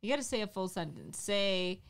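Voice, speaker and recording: A woman's voice: mid-range voice, lively intonation, moderate pace, creaky, clear, resonant tone. A young woman with a mid-range voice chats playfully on a home livestream. She giggles and leans on "like" as she talks, close to a studio mic in a small room. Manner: playful, giggly, uses "like" a lot. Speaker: stream co-host. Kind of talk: casual chat on a livestream. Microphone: studio mic on boom arm, close.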